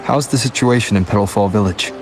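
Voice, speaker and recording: An actor playing a calm, reflective young male wanderer, the hero of a video game. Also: fairly low pitch, fast, over music, natural voice. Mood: calm, pensive. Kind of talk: English video game voice acting, inner monologue.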